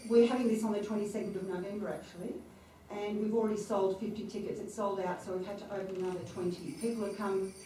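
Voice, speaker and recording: Chatty female host warming up a hall crowd, with strong room echo and a faint hiss. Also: medium pitch. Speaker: event host introducing the performer. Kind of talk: introduction before an audience. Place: lecture hall before an audience. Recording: echoey hall mic heard from far off.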